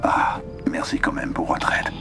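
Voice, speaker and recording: A man's voice: measured pace, over music, close to an everyday voice. A man playing a lovesick, melodramatic quest-giver in a cartoon game world, theatrical and stammering. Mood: grieving, poetic.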